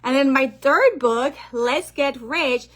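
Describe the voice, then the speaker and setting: A woman from Guyana, a financial planner and author giving a lively motivational talk on money over a call and often checking in with her listeners. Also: high-pitched, slow.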